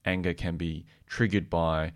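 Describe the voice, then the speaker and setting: Man, podcast co-presenter and therapist. Very deep register, measured, explanatory, conversational delivery. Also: level tone. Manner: measured, explanatory, tentative when giving opinions.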